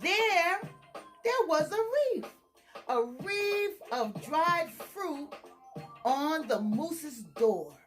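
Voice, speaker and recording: A woman reading a children's story aloud in an expressive, dramatic storytime style with drawn-out words. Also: high voice, soft background music.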